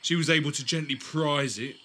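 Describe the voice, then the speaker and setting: A man's voice: on the high side, a little fast, pushed and bright, a little hiss or hum. A man in an audio drama playing an amiable settler, conversational and slightly tentative. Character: easygoing male settler, expectant parent, amiable companion.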